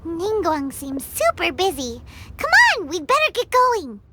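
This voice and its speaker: A woman voicing a tiny, excitable female fairy companion who bubbles along and calls herself by name. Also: very high voice, measured pace, very noisy, full character voice. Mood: curious. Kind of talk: game voice acting. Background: game music.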